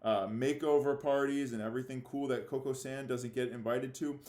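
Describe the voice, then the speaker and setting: A man speaking as a fifth-grade teacher giving a read-aloud lesson, halting and theatrically mock-serious in his delivery. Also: medium pitch, steady tone, a little mellow. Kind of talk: read-aloud lesson for children, reading a novel with commentary. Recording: echoey room.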